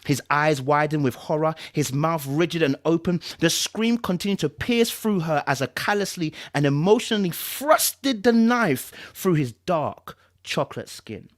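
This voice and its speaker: A man's voice: a little high, animated, moderate pace, few pauses. London man hosting a dramatic book stream. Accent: British, London. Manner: informal, repetitive, checks in with listeners. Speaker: live-stream host and book reader. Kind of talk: casual chat and dramatic book reading.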